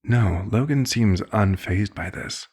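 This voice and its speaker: A man playing a courteous elder vampire doctor in an ASMR roleplay, speaking formally and with measured, concerned authority, in a gravelly, husky voice. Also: on the low side, a little mellow. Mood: measured, clinical concern. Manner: formal, measured, polite wording. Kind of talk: ASMR roleplay, scripted one-sided dialogue.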